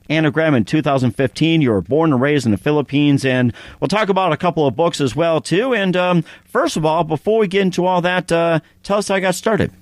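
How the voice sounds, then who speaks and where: Male interview host, slightly high voice, dry close mic, loose rambling patter full of fillers. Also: dry recording. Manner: rambling, many fillers and repeated "as well, too". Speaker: podcast host. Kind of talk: casual interview with read sponsor spots.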